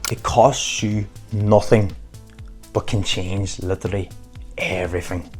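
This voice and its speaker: A man's voice: on the low side, a little slow, soft background music. A man giving an earnest motivational talk to camera in short, emphatic phrases. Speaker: motivational vlogger.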